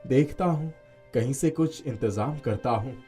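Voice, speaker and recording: A man voicing a poor, good-natured village farmer in an earnest, expressive storybook delivery. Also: medium pitch, unhurried, soft background music, lightly acted. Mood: weary worry.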